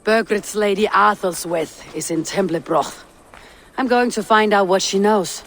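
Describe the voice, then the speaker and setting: Gruff, commanding Viking raider woman. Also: mid-range voice, unhurried, fairly bright tone, slight background noise. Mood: resolute. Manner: terse, commanding. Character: stern, gruff, battle-hardened female Viking raider. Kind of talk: dramatic video game acting.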